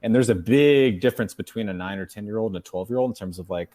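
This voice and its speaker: A man's voice: on the low side, quick pace, warm tone. A man in his thirties, a guest on a casual podcast, talking in an earnest, chatty way and explaining things at length. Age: 30s.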